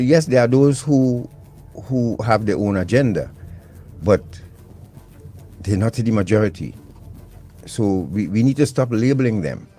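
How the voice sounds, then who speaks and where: A man's voice: lowish voice, mellow. A man hosting a live radio talk show, chatty and prone to repeating words. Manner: conversational, repeats words while searching.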